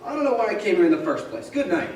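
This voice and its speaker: A man's voice: high-pitched, sing-song, rapid-fire. An actor playing a stubborn, awkward, grumbling young small-town man in an old-time radio play. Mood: exasperated. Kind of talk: old-time radio drama stage acting. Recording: echoey theater, distant mic, faint noise.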